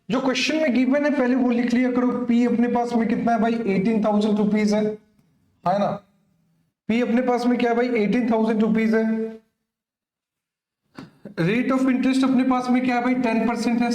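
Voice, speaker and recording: High-pitched man teaching, echoey room. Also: a little mellow. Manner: repetitive tag questions, addressing students directly. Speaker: maths teacher. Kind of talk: exam coaching lesson, explaining worked problems.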